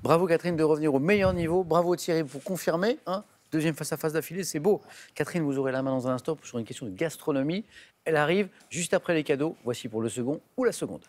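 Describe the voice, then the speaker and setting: Upbeat higher-voiced male quiz host. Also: quick pace, darkish tone. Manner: upbeat, chatty, reads clues with emphasis. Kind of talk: lively game show hosting. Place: television studio.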